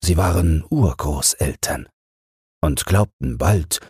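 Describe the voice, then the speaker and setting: Very husky, deep-voiced male bedtime narrator. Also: dry recording, studio quality. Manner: calm, soothing, measured. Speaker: audiobook narrator. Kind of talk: bedtime fairy tale narration.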